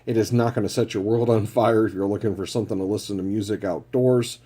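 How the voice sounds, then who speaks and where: Mellow, low-voiced man reviewing products. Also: steady tone, fast talker. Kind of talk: casual product review narration.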